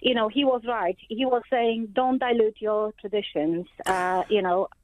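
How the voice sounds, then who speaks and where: A woman from Poland with a Polish accent, calling in to a radio show and speaking hesitantly with many pauses. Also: medium pitch, steady tone, slight background noise. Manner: hesitant, many ums, a little nervous. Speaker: radio caller. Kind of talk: phone-in call. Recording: tinny phone line.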